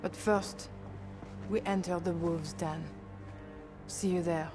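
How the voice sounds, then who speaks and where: An actress playing a resolute Resistance fighter, speaking slowly and gravely with a French accent. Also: female voice, lowish voice, steady tone, music in the background. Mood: grave resolve. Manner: slow, grave and determined. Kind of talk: video game cutscene acting.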